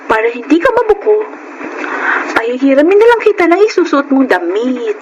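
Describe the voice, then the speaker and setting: A high-voiced young Filipina student, fake-friendly and pushy. She wheedles and plots in exaggerated radio drama style. The recording is plainly noisy. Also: big pitch swings, normal speed. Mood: coaxing.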